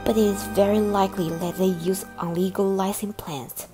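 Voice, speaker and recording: A woman playing a steady, helpful senior police detective in a student English radio drama, with a Taiwanese accent. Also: medium pitch, moderate pace, lightly acted. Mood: serious. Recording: voice over clear background music.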